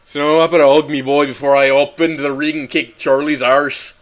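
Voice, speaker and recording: A man's voice: fairly high pitch, level tone, a little fast, close to an everyday voice. A man voicing a big male Megalosaur boxer and proud father, with a put-on Irish accent, giving folksy advice. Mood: fatherly.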